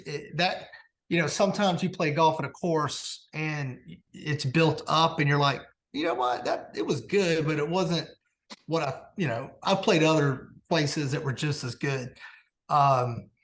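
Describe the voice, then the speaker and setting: A man telling anecdotes in casual conversation as a podcast guest. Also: fairly high pitch. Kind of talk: casual chat, storytelling.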